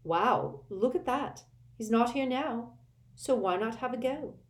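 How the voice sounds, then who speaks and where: A woman's voice: on the high side, lively intonation, measured pace, reverberant, voice pushed up. A defiant teenage wizard boy from a storybook, mocking and bold. His voice rises in sarcastic taunts, as a woman reader plays him.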